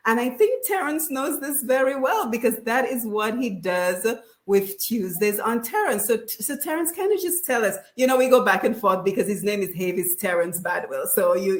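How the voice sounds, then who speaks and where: A woman hosting a radio panel discussion in a conversational way, often checking in with "right?". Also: mid-range voice. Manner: conversational, frequent "right?" tags. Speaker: radio show host. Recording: roomy echo.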